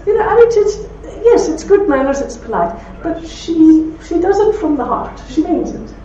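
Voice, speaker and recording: A woman with a broad Belfast accent speaking to an audience, telling anecdotes with warmth and laughter. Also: very high-pitched, big pitch swings, moderate pace. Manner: warm anecdotal storytelling with laughs. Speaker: speaker at a talk. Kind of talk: talk with audience questions. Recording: roomy hall from far off, dull.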